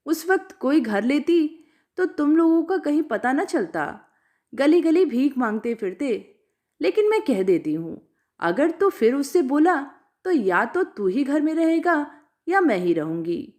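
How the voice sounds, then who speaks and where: A woman's voice: high-pitched, very animated, measured pace, darkish tone, voice pushed up. A woman voicing a bitter, domineering older village mother in a story, scolding and accusing. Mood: angry, scolding.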